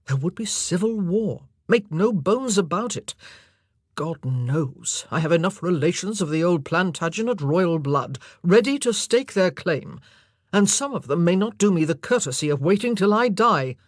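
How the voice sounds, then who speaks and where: A narrator voicing an anguished, impassioned and forceful male monarch in an audiobook drama. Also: mid-range voice, lively intonation, a little slow, dry recording, studio quality. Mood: forceful, fearful.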